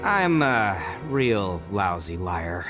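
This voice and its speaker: An actor with an American accent playing a brash, childishly competitive but big-hearted young gang boss in a video game dub. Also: male voice, low-pitched, very animated, very slow, music in the background, exaggerated voice. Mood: sheepish, earnest. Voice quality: boisterous.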